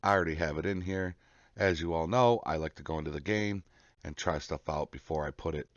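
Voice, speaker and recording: Man, low deep voice, casual tutorial host, folksy and reassuring, step-by-step delivery, echoey dull home recording. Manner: folksy, reassuring, often checks in with okay. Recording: muffled, roomy home recording.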